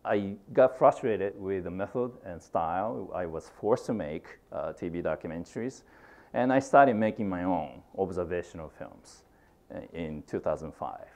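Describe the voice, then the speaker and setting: A middle-aged man with a Japanese accent, whose first language is Japanese, lectures to a university audience. His voice sits very low. He pauses often on "uh" and restarts his phrases, and is picked up from a distance as he stands in a lecture room. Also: darkish tone, dull audio. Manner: halting, many fillers and restarts. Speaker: guest lecturer, filmmaker.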